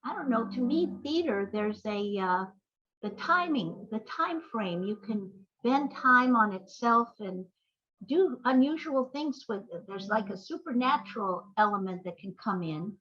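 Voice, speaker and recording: An older woman talking as an interview guest, telling stories conversationally in halting, reflective phrases. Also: a little high, lively intonation, fairly bright tone, dull audio. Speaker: playwright and screenwriter guest.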